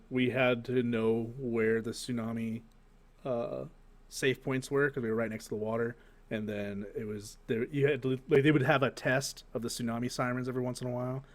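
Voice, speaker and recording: A man's voice: mid-range voice, normal speed. American man chatting casually, profane and joking. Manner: profane, joking, animated storytelling. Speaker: podcast co-host. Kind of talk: casual group chat.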